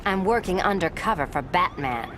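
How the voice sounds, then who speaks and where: A cool, flirtatious cat burglar acted by a woman for a video game. The voice sits on the low side and moves around a lot, teasing, amid heavy noise. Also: animated, normal speed, close to an everyday voice. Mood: sly teasing. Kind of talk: video game character dialogue. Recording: very noisy game effects behind.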